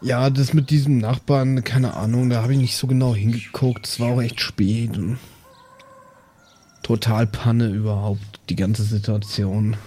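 A man as mellow, blunt beer-drinking bandmate. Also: mid-range voice, level tone, a little slow, slight background noise, close to an everyday voice. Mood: casual, sceptical.

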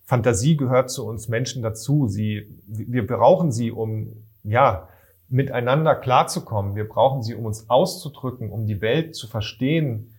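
A man, an author being interviewed, answering reflectively in an earnest, measured way with repetition for emphasis. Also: mid-range voice, a little mellow, husky. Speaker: children's book author, interviewee.

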